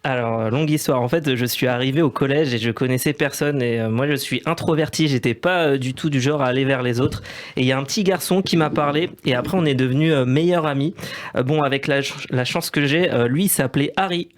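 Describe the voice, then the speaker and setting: A man's voice: medium pitch, fast talker. A man presenting a scripted comic radio segment, telling it in a hesitant, self-deprecating way. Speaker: radio comedy columnist.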